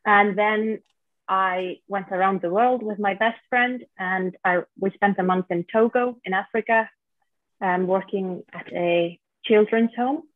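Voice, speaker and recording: A woman's voice: mid-range voice, a little slow, no echo. Icelandic woman on a tinny line. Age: 30s. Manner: relaxed, plainspoken storytelling. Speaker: guest. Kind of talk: casual interview chat. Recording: dry, tinny phone-like line.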